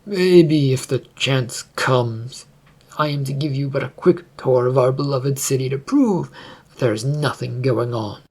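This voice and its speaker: Performer as a gruff storybook captain, curt, formal, defensive, husky, creaky voice. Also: mid-range voice, measured pace, darkish tone. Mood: stern.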